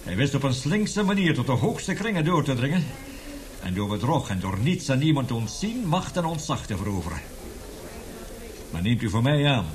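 An actor in a radio drama playing an embittered, passionate male professor who lectures emphatically and indignantly. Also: fairly high pitch.

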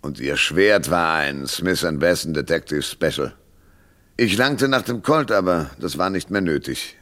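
An actor playing a world-weary, hard-boiled private detective in a 1970s German radio drama, sardonic and laconic. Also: male voice, low voice, a bit bright, exaggerated voice. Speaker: lead actor and narrator.

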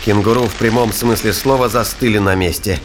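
A man's voice: lowish voice, fairly even intonation, fairly quick, a little hiss or hum. A man giving a dramatic scripted narration of a science fiction thriller. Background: music.

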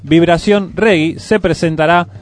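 A man's voice: fairly high pitch, fairly even intonation, measured pace. A man with a Rioplatense Argentine accent presenting a radio show, reading scripted music news and event listings. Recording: music playing behind the voice. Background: music bed.

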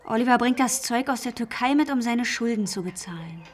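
A woman's voice: fairly high pitch, animated, a little fast, close to an everyday voice. An actress playing a hard-pressed young waitress and mother in a German radio crime drama, direct and down-to-earth. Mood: suspicious. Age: young adult.

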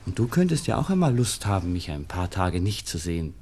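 An actor playing a charming but evasive young Viennese lover in a radio play, gentle and courteous, with a Viennese accent. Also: male voice, low voice, sing-song, fairly quick, breathy edge, exaggerated voice. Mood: uneasy, gentle. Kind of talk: 1960s German radio play acting. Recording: 1960s radio studio recording.

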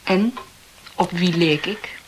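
An actress playing a cool young lady in a radio play, polite and formal, questioning with a gentle tease. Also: on the low side, slow pace, a little hiss or hum, low-quality recording. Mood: teasingly curious.

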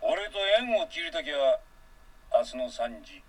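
An actor playing a thuggish, menacing man in a radio drama, with rough, coarse, threatening speech. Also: medium pitch, animated, unhurried, low-quality recording. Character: thuggish, menacing man extorting money from a young woman.

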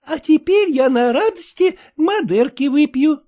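An old aunt who wheedles for presents in a high, swooping, folksy voice, as a male reader puts her on. She coaxes with every phrase. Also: lively intonation, unhurried, mellow. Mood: coaxing. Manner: coaxing, folksy. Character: nagging old woman matchmaker aunt, wheedling.

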